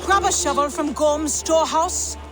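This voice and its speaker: An actress playing a suspicious, sharp-tongued Norse village woman in a video game, bossing and scolding. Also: high voice, moderate pace, over loud music, natural voice.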